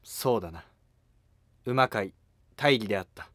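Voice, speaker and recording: A man playing a cautious, troubled emperor with formal, measured speech in a historical animated drama. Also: lowish voice, no echo, clean studio sound, pitched below the performer's own voice. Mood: grave.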